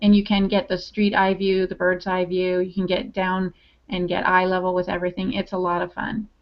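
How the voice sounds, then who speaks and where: A woman's voice: on the low side, monotone, brisk. A woman co-hosting a podcast, chatting casually as she relays comments and tips. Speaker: podcast co-host relaying chat-room comments. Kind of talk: casual chat. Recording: dull lo-fi online-call audio.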